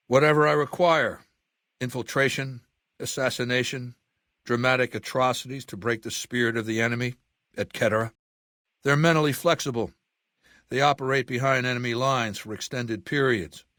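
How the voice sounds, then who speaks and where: Ruthless dictator for a game, male actor. Erudite, measured, coldly imperious; mid-range voice, close dry sound. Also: medium pitch, fairly bright tone, no echo, lightly acted. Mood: imperious, dismissive. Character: ruthless, cultured warlord dictator in middle age. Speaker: video game villain. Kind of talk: dramatic game dialogue monologue.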